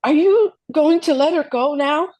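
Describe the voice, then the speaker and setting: A woman playing a desperate, frightened mother in a screenplay table read, pleading and repeating herself in halting phrases. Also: high voice, mellow. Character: desperate, frightened mother obeying her child's kidnapper.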